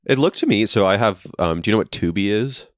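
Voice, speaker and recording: A man's voice: lowish voice, animated, quick pace, no echo, clean studio sound. A man co-hosting a podcast in casual chat, laid-back and ribbing, with lots of "like" fillers, recorded in a friend's basement. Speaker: podcast co-host.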